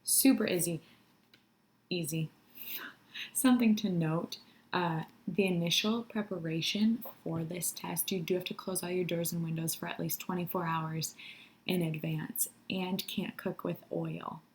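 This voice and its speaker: A woman with an American Midwestern accent and a slightly husky voice, giving a casual product review to viewers. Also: on the low side, fairly even intonation, measured pace, slight background noise. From Michigan, USA.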